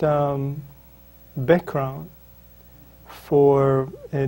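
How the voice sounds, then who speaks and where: Hesitant Israeli man, dark and flat. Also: medium pitch. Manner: hesitant, many ums and restarts. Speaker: author, interview guest. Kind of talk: reflective interview answers.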